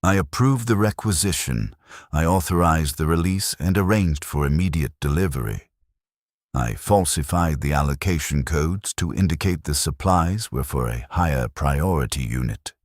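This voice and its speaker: A man narrating a scripted first-person war story as a stoic, weary military clerk, in a somber, measured way. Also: very deep voice, lively intonation, no echo, clean studio sound. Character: weary, stoic military supply clerk recounting wartime losses.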